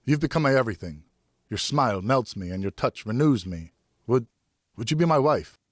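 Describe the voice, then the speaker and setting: A smitten gentleman of old France, played by a male audiobook reader. He speaks formally and earnestly, a little flustered and self-conscious. The pitch is lifted and the sound is close and dry. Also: lively intonation, fairly quick, no room sound, lightly acted. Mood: tender, earnest. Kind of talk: audiobook character dialogue.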